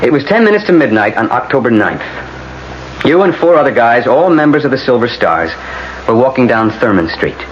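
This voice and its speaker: Man playing a blunt, animated, world-weary lawyer. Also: medium pitch, brisk, close to an everyday voice. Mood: stern. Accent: American. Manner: blunt, sardonic, authoritative. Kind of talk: 1980s radio drama acting. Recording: muffled, noisy old radio broadcast.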